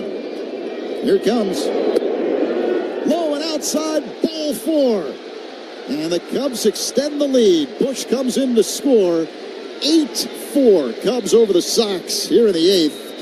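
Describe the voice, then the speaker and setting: A man's voice: high-pitched, takes it slowly. A man doing live play-by-play baseball commentary for radio. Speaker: play-by-play announcer. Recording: noisy stadium ambience. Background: steady ballpark crowd noise.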